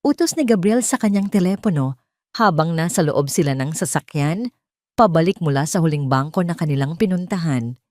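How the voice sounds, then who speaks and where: A woman narrator with a fairly low voice, recorded close and dry. She tells a scripted dramatic story in a measured, theatrical way. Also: animated, darkish tone, dry recording.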